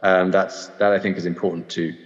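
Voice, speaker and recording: London-accented male podcast host, low-ish, hesitant delivery, muffled audio with a long room tail. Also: little pitch movement, fairly quick. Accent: London English. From United Kingdom. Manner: hesitant, many ums and uhs. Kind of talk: casual podcast discussion. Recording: dull roomy remote audio.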